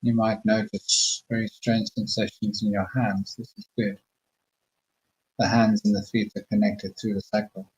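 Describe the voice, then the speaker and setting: A man slowly talking listeners through a guided meditation with calm, murmured instructions. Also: on the low side, dull audio. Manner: slow, soothing, murmured instructions.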